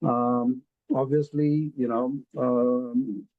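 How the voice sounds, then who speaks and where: A man with an Indian accent presenting an investment pitch on a video call, speaking slowly and deliberately with many fillers. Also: level tone, no room sound, narrow, tinny audio. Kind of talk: casual webinar presentation.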